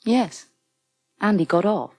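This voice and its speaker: An actress playing a firm, supportive crisis counsellor in a radio soap, earnest and plain-spoken. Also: female voice, mid-range voice, lively intonation, takes it slowly, a little mellow, dry recording. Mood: candid, bitter. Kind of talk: British radio soap acting.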